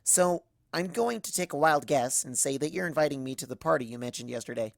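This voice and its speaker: A woman's voice: low voice, animated, fairly quick, gravelly, no room sound, studio quality, pitched below the performer's own voice. A woman voicing a tired, bookish young female pony in a subdued, matter-of-fact way, in a story reading. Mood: dry, patient.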